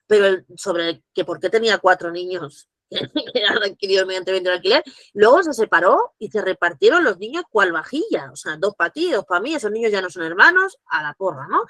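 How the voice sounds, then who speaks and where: A woman, speaking as guest at a virtual conference, animated and full of restarts. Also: mid-range voice, brisk, dry recording. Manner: animated, acts out quoted dialogue, frequent restarts. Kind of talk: virtual conference talk, answering questions. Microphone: video call.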